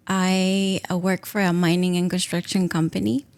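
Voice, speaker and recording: A woman's voice: fairly low pitch, little pitch movement, moderate pace, darkish tone, clear, resonant tone. A woman in her thirties from Peru, a native Spanish speaker, telling her own story casually as a podcast guest, sometimes searching for words. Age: 30s. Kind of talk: casual personal account.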